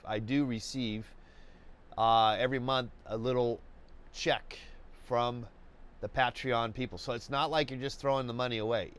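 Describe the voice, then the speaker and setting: Stuffy-nosed guy chatting on his live stream, smooth clear voice, lots of uh and restarts, laid back. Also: medium pitch, a bit bright. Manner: halting, many restarts and fillers, laid-back. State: stuffy, congested. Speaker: host of a live painting webcast. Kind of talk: rambling live-stream chat.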